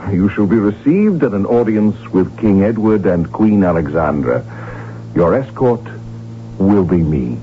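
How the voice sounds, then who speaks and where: An actor playing a proud, ambitious archduke in a radio drama, with an imperious and emphatic delivery. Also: male voice, fairly low pitch, animated, measured pace. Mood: proud, defiant. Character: proud, defiant, ambitious archduke, devoted husband. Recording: narrow, phone-like old radio recording with hum.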